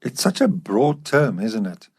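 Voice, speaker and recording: Man, mid-pitched, chatting. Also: very animated, measured pace, a little mellow, slightly rough. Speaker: podcast co-host. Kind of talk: casual podcast discussion.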